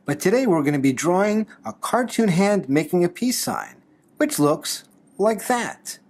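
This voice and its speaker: Someone hosting a how-to-draw lesson, bit raspy, pitch moves around a lot, jokey and encouraging. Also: lively intonation, a little slow, darkish tone. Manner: playful, light humour, encouraging. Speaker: host of a drawing tutorial show. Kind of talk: step-by-step drawing tutorial narration.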